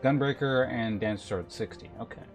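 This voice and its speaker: A man's voice: medium pitch, a little fast. A man livestreaming a game, chatting informally as he thinks aloud and reads game text. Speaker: game streamer. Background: game music.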